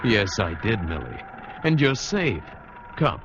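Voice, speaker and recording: A man's voice: lowish voice, huge pitch swings, takes it slowly, background noise, exaggerated voice. An American man acting an adventurous, protective ship's skipper who narrates a perilous escape in 1940s radio drama style. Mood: firm, reassuring. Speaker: narrator and lead actor. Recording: muffled vintage radio recording, music behind.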